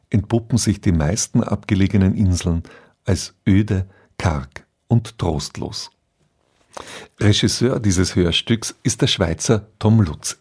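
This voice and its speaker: A man's voice: low voice, a little mellow, breathy edge. A man presenting a scripted introduction to a radio drama.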